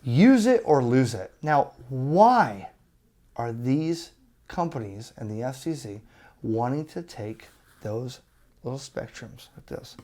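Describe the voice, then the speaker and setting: Emphatic man ranting with swooping pitch. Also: very animated, dark tone. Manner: emphatic, with rhetorical questions and pauses for effect; exasperated, argumentative. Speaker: amateur radio YouTube host. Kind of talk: opinionated rant to camera.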